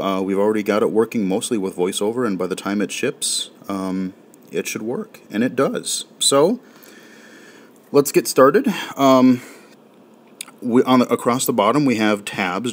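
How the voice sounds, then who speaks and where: A man gives a relaxed, rambling demonstration of an app, full of fillers and restarts. His voice sits on the low side. He sounds informal and unscripted. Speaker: game reviewer. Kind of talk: casual spoken app walkthrough.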